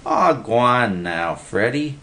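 A man's voice: mid-range voice, very animated, slow pace, sharp tone, pitched above the performer's own voice, heavily acted. A man voicing a dim, whiny male bum who talks in uneducated slang and looks up to his friend, in a storybook reading. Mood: admiring, teasing. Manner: whining, uneducated slangy talk.

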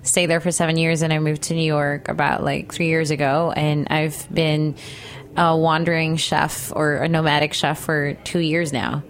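A woman in her thirties from the Philippines, a chef and interview guest who laughs often and talks in an animated way. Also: low-pitched, normal speed. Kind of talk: casual interview.

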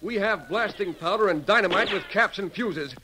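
A man acting as a plucky frontier pioneer with a high-pitched voice. He is blunt, folksy and rustic, leading a wagon train in a 1950s radio western, on a clearly noisy old broadcast recording. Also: normal speed, natural voice. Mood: dogged, amazed.

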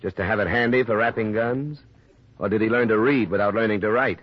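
An actor playing a tough, sober private investigator, terse and matter-of-fact, in a 1950s radio drama. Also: male voice, lowish voice, a little fast, breathy edge. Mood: probing, grave. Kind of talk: 1950s radio drama, first-person hardboiled narration and dialogue. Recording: muffled vintage radio recording with music.

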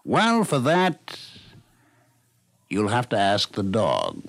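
A man narrates a scripted 1950s radio programme in an American accent. His pitch swings widely from phrase to phrase, very animated. The sound is dry, with little room around it. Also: takes it slowly, gravelly, dry recording.

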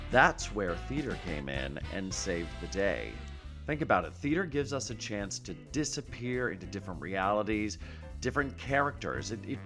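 A man's voice: medium pitch, fairly bright tone. A man hosting a podcast in a chatty, theatrical style, gushing and playful as he talks. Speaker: podcast host. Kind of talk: chatty podcast monologue and guest introductions.

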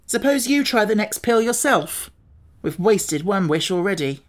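A woman voicing a plucky, excitable young boy in a storybook, easily irritated and quick to exclaim. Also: mid-range voice, voice pushed up, lightly acted. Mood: sulky, indignant.

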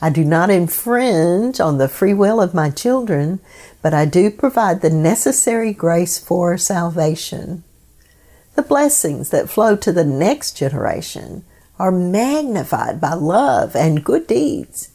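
A woman hosting a devotional program, reading scripted meditations in a solemn, measured way. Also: lowish voice, sing-song, warm tone.

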